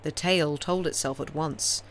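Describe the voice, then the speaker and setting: A woman reading classic fiction aloud as an audiobook narrator, in a measured, expressive, dramatic storytelling style. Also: deep voice, a little hiss or hum. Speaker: audiobook reader.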